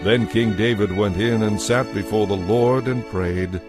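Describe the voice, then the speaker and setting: A fairly low-voiced man reads scripture as a narrator. His delivery is measured and solemn, in a scripted audiobook style. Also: over music.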